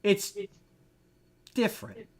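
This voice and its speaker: A man chatting casually as a podcast co-host, explaining things in an informal, slangy way with halting repeats. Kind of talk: casual movie review chat.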